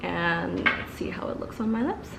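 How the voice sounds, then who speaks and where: A woman's voice: on the low side, animated, normal speed, creaky, background noise. A young woman in her twenties, a little stuffy from allergies, chatting casually through a makeup review in her living room. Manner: chatty, many fillers and repeated words. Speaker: beauty vlogger. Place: living room, seated before a couch.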